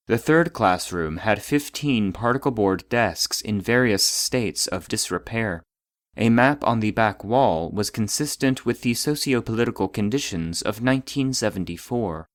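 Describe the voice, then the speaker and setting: An actor playing a formal database voice, reading clinical case logs in a detached, precise manner. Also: male voice, lowish voice, no echo, studio quality. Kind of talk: scripted narration of clinical reports.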